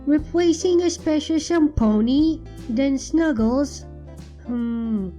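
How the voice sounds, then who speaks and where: A woman voicing a cute, eager little changeling nymph with halting, childlike baby talk in a storybook reading. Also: high-pitched, warm tone, soft background music, pitched above the performer's own voice. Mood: eager, curious.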